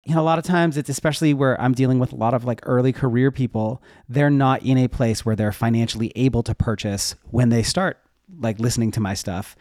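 A man's voice: medium pitch, steady tone, fairly quick, darkish tone, no room sound. Casual man chatting, dry close sound. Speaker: podcast guest, web development educator. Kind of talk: casual interview talk.

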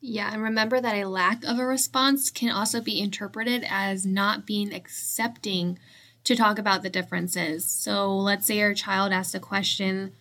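A woman's voice: medium pitch, fairly even intonation, moderate pace, a bit bright. A young woman co-presenting in a loose, conversational way, often using "like" as filler. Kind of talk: casual discussion.

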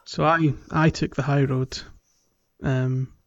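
A man's voice: mid-range voice, steady tone, takes it slowly. Casual male podcast host, mid-range voice, explanatory, slightly muffled lo-fi audio. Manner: explanatory, guiding the conversation with questions. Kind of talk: casual game walkthrough chat.

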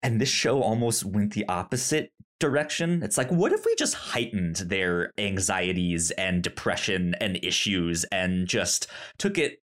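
Man, podcast co-host, casual chat, halting, stammers, slow pace. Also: sits low, very animated, fairly bright tone. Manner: halting, with frequent stammered restarts.

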